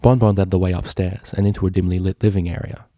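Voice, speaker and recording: A man's voice: low-pitched, flat delivery, fast talker, mellow, no echo, studio quality. A man reading a story aloud as scripted narration. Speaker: fan fiction reader.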